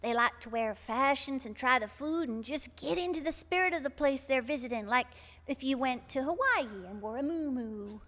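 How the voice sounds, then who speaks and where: An actress plays a sweet, unfazed older woman phoning a radio show in a comic stage play. Her voice sits high and she rambles in a homespun, gossipy way. The sound rings with the reverb of a hall. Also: animated, normal speed, a bit bright. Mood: cheerful, gossipy. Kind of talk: comic live radio theater acting.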